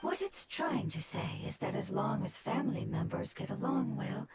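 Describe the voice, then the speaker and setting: A woman voicing a calm, wise young goddess who explains things gently and evenly. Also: medium pitch, gravelly, heavy background noise. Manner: soft, measured, gentle explaining. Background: loud game music.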